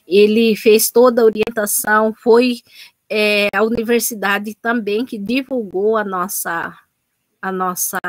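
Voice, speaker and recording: A woman's voice: mid-range voice, a bit bright, no room sound. Hesitant middle-aged woman, dry sound. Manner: hesitant, many restarts and repetitions. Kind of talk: unscripted talk at an online event.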